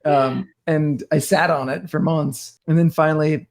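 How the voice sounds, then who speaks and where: Gravelly-voiced man, rambling. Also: on the high side, fairly even intonation, measured pace, a little mellow. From Denmark. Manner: rambling, many restarts and "like". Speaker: podcast guest. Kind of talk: casual interview talk.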